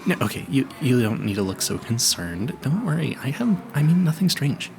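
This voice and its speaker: A man's voice: medium pitch, very animated, moderate pace, vocal fry, music in the background. A man playing an awkward, smitten young vampire hunter in a romantic audio roleplay, stammering nervously and apologizing politely. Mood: nervously earnest.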